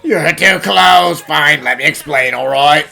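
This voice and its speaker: A woman voicing an exasperated, skeptical police inspector in an animated mystery fan dub, with a blunt, grumbling delivery. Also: deep voice, unhurried, creaky, full character voice. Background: background music.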